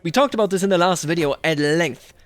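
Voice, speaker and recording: A man's voice: a little high, fast. A man hosting a video game roleplay series, giving casual commentary and thinking aloud conversationally as he plays. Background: background music.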